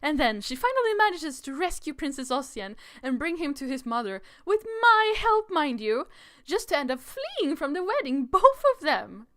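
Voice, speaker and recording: Woman voicing an exasperated, haughty royal sister, pitched high. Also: sing-song, moderate pace, few pauses, a bit bright, dry recording, clean studio sound. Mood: indignant, fed up.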